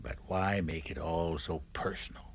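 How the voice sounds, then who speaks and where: An anxious political insider in a radio drama. His low, husky voice hesitates and coaxes, pleading his case with care. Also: steady tone, moderate pace, heavily acted. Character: anxious American party fixer, cajoling adult man.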